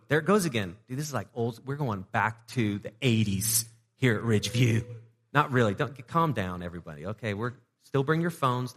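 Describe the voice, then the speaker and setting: A man preaches in an easygoing, conversational way, tossing playful asides to the people in front of him. His voice sits at an ordinary middle pitch. The sound carries a long reverb from a large church hall. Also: fairly bright tone. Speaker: pastor. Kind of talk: sermon. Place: church sanctuary.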